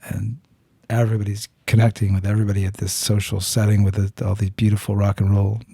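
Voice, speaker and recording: A man with a low, gravelly, very dark voice, hosting a podcast and telling a personal story in an earnest, searching way. He restarts his sentences often and throws in blunt swearing. His tone is husky and the sound is close and dry. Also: monotone, quick pace, dry recording. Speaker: podcast host. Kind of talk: candid storytelling in conversation.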